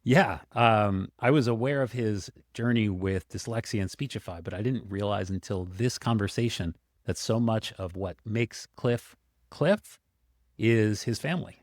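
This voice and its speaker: A man's voice: fairly low pitch, unhurried, dry recording, clean studio sound. A man co-hosting a podcast, enthusiastic and rambling, with many likes and ums. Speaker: podcast co-host. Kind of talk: casual podcast chat.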